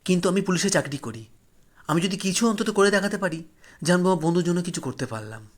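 A man's voice: sits high, lively intonation, quick pace. A man narrating a horror story in the first person, solemn, dramatic and emotive.

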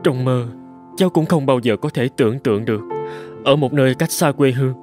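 An actor playing a humble, polite young jobseeker from the countryside in a cartoon, hesitant and earnest. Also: male voice, medium pitch, fairly quick, close to an everyday voice. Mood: downcast. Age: young adult. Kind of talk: animated moral-tale voice acting. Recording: prominent music under the voice.

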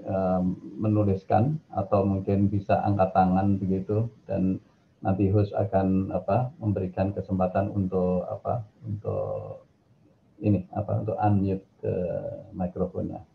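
Thin, phone-like video-call audio carries a middle-aged man with a Javanese accent. His voice is low and creaky. He lectures informally and conversationally. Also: a little slow, slight background noise. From Indonesia. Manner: conversational, mixes in English phrases. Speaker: guest lecturer, academic. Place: indoor office on a video call.

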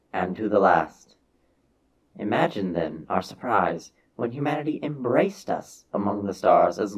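A man with a husky voice giving a solemn, measured, theatrical reading of a science fiction story as its narrator. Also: lowish voice, a little mellow, dull audio.

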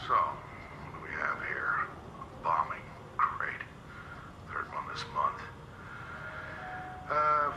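An actor playing a bored, sarcastic coroner dictating in a weary deadpan for a video game. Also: male voice, fairly low pitch. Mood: jaded. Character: bored, jaded male city coroner. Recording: echoey recorded log with background noise.

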